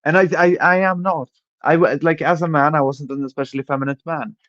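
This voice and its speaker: Man, co-host, halting, profane, restarts, dull dry recording. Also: on the high side, steady tone, fairly bright tone, no room sound. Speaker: podcast co-host. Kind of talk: casual reaction chat.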